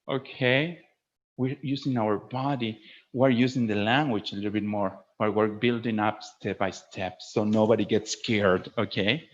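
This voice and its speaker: A man speaking as a teacher trainer in an informal instructional webinar, explaining in an animated way and often checking that listeners follow. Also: lowish voice, a little slow. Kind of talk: informal instructional presentation with acted demonstrations. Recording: dull lo-fi webinar audio.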